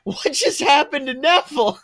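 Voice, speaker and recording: A podcast co-host chatting casually in an animated, sweary way. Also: high-pitched, normal speed, no room sound, clean studio sound.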